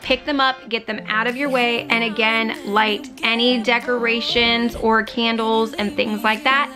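A woman's voice: fairly high pitch, little pitch movement, measured pace. A woman in her thirties giving practical, matter-of-fact cleaning tips as a home vlogger in a voice-over. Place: home living room, on a couch. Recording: voice-over with music underneath. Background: background music.

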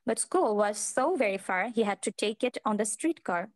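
Woman narrating a story, medium pitch. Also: expressive, normal speed. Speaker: participant reading narrator. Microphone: video call.

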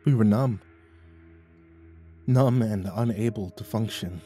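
A man narrating a first-person horror story in a tense, halting way with stammered restarts. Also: lowish voice, mellow. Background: faint music.

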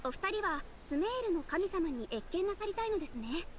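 A woman's voice: very high-pitched, very animated, slight background noise. A woman voicing a composed young guild receptionist in an anime game, speaking formally and politely. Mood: courteous. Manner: formal, courteous, measured. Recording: game music behind the voice.

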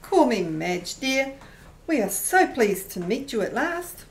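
A woman's voice: fairly high pitch, sing-song, takes it slowly, full character voice. A doting, chatty vampire mother played by a woman in a radio play. Her voice rises and falls a lot in a friendly, homely way. There is faint noise on the recording. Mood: welcoming. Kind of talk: amateur radio play acting.

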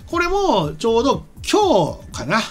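A man's voice: very high voice, wildly swooping pitch, a little slow. A middle-aged man hosting a studio talk show, giving casual explanatory commentary at a desk microphone. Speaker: host, anime and game writer. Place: small streaming studio.

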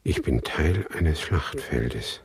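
An actor playing a wary, brooding son who narrates a German radio drama in a husky, measured, foreboding voice. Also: male voice, a bass voice, animated, no echo, exaggerated voice.

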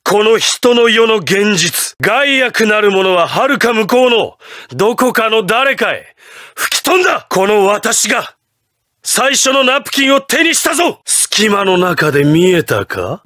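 An actor playing an imperious, ruthless patriotic president in a Japanese anime fighting game, with grandiose, theatrical declarations. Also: male voice, high-pitched, animated, measured pace, pushed and bright, no room sound, clean studio sound, exaggerated voice. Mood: commanding, solemn, then triumphant, exultant.

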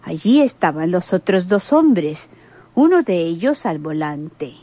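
A woman's voice: lowish voice, sing-song, a little slow, dark tone. An actress playing an earnest townswoman giving her testimony in a radionovela. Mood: earnest, indignant. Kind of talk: radionovela acting.

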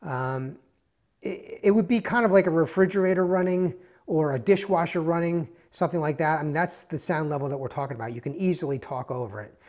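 A man's voice: fairly high pitch, brisk, a little mellow. A man giving a casual, rambling tool review in a garage workshop, with many fillers and restarts. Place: indoors, garage or workshop with concrete floor.

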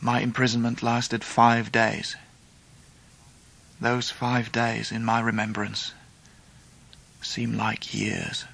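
An actor playing a grown man recalling his childhood, husky and earnest, with an English accent in radio drama narration. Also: medium pitch, completely flat delivery, fairly bright tone, slight background noise. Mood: sombre. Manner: reflective, earnest, measured. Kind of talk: dramatised first-person narration.

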